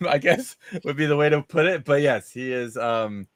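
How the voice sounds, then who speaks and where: A man's voice: on the high side, moderate pace, no echo. Casual male host with a dry, close sound. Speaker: host of a movie discussion show. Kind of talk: casual panel discussion.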